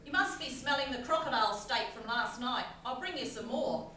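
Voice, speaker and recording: A woman's voice: high-pitched, lively intonation, normal speed, sharp tone. A woman playing a brusque, bossy pub landlady with a curt, scolding manner in an amateur comic puppet show. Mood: placating. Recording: echoey room from far off, noisy.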